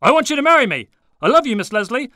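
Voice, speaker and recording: A man voicing a hurried, distracted and brusque stockbroker boss in a story, urgent and impatient. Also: very high-pitched, sing-song, pushed and bright, dry recording, clean studio sound, pitched above the performer's own voice, full character voice. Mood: urgent, pleading.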